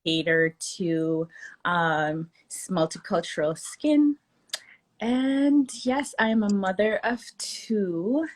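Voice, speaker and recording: A woman esthetician and mother of two, a guest in a conversational interview over a video call, telling her story in a relaxed way. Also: mid-range voice, slow pace.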